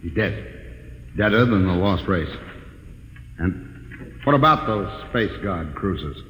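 Bright-voiced, doubtful space crewman. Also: low-pitched, lively intonation, slow, heavily acted. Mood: blunt concern. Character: doubtful male spaceship crewman, blunt and practical. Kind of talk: 1950s radio science fiction acting. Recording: reverberant, noisy old radio recording.

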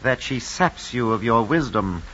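A careful, scheming Roman counsellor in an old radio play, deferential and formal. The voice is mid-pitched with a tense, bright edge, on a muffled recording with faint noise. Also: male voice, lively intonation, measured pace. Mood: urgent, insinuating. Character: cautious, scheming mentor and adviser to emperor. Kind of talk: old-time radio drama acting.

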